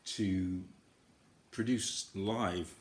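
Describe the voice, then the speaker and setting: A man giving a casual, unscripted demo of guitar effects gear, talking in halting fragments as he explains his setup. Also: sits low, steady tone. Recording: echoey room, iPad recording. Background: processed guitar effects sounds.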